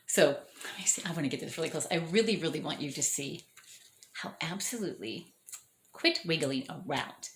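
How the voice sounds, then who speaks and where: A chatty, enthusiastic woman talks through her orchids with a lively, swooping voice, often correcting herself as she goes. Her voice is fraying from overuse. She's recorded indoors in an echoey room at home. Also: low-pitched, moderate pace, a little hiss or hum. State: losing her voice. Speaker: orchid grower showing her plants. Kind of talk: casual show-and-tell vlog.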